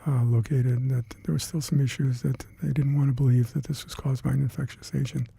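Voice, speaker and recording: An older man with Hungarian as his first language, recalling past work in an oral history interview, halting and reflective with many fillers and restarts. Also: medium pitch, little pitch movement, vocal fry, warm tone, a little hiss or hum. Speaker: interviewee, retired scientist.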